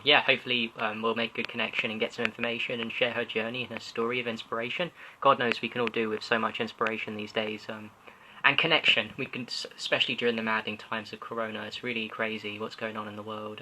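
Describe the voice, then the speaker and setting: A man hosting a live social media interview over a video call, rambling and excitable. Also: medium pitch, slight background noise, rough recording. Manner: excitable, rambling, laughs loudly. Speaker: host.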